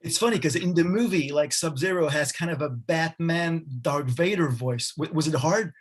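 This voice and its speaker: A man with a French accent, interviewing over a video call and asking friendly questions in quick bursts. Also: on the high side, husky. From France.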